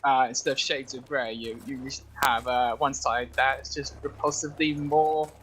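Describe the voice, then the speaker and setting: A man's voice: mid-range voice, little pitch movement, normal speed, a bit bright, a little hiss or hum. A man giving his view in a casual discussion, hesitant and careful with his wording. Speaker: commentary guest.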